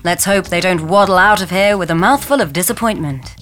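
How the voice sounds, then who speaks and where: A woman playing arch, deadpan reality TV dinner show voices in a comedy sketch. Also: fairly low pitch, fairly quick, soft background music, close to an everyday voice. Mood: snide.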